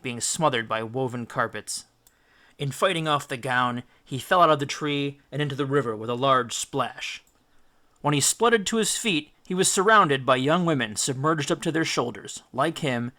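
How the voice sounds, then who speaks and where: A man narrates a storybook in a bright, forceful voice of middling pitch. His delivery is scripted and theatrical, with deliberate, careful phrasing. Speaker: audiobook reader.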